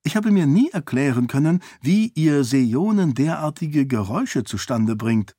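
A man voicing a witty, cheeky young noblewoman in an audiobook, playful and sharp-tongued. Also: on the high side, sing-song, moderate pace, dry recording, studio quality, voice pushed up. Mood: teasing.

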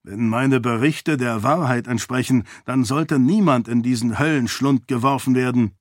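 A man's voice: mid-range voice, normal speed, dry recording, studio quality, lightly acted. A man voicing a protective, headstrong king and father in a fantasy audiobook. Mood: indignant.

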